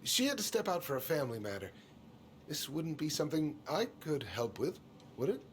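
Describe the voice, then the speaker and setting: Middle-aged store boss, folksy, hesitant, evasive, animated pitch, faint background noise, video game dialogue. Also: male voice, fairly quick. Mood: guarded.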